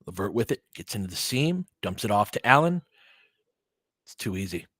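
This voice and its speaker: A man's voice: fairly low pitch, sing-song, normal speed, a bit bright, husky, no room sound, studio quality. A man doing live basketball play-by-play in short clipped phrases, with casual, partisan asides like a fan commentator.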